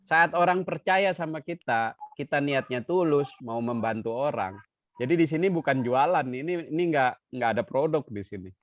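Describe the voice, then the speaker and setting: A man giving an online seminar talk as a trainer, lively and joking, sometimes acting out quoted dialogue. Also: a little high, normal speed, dry recording. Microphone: video call. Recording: dry, dull lo-fi video call audio.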